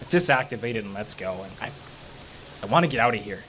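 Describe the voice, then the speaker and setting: A man's voice: fairly low pitch, animated, quick pace, noisy background, lightly acted. A man acting a weary, self-interested hiker in an audio horror drama. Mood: fed up.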